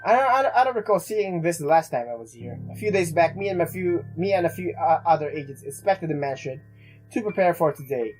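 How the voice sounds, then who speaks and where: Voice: mid-range voice, lively intonation, fairly quick, a little mellow, rough recording. A let's player reading a visual novel aloud in a dramatic, stumbling way and reacting along the way. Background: game music.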